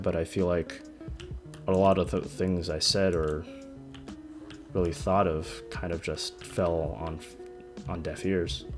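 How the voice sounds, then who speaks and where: A young man in his twenties with a deep voice, rambling hesitantly about his life in a personal vlog, often stopping and restarting. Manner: hesitant, halting, frequent restarts. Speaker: vlogger. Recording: music playing under the voice. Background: background music.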